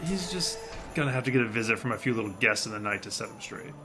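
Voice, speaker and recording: A man's voice: mid-range voice, animated, quick pace, close to an everyday voice. Sceptical, put-upon agent played by a man, music behind. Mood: indignant. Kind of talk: comedic audio drama acting.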